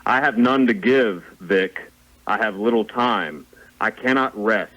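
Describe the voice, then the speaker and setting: A man's voice: fairly low pitch, measured pace, fairly bright tone, poor audio. A man playing the mournful ghost of a dead business partner in a radio play, solemn and declamatory. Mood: anguished, solemn. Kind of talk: theatrical radio play acting.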